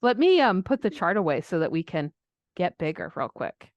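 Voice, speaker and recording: A woman's voice: fairly low pitch, sing-song, moderate pace, no echo, lo-fi sound. Dry-sounding woman in casual chat. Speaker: astrology podcast co-host.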